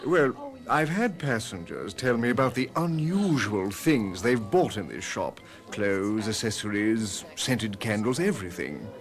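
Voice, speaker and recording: An actor playing a courteous ship's captain who shares intriguing rumours in a radio mystery drama. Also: male voice, fairly low pitch, expressive, unhurried, few pauses. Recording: music behind a radio drama.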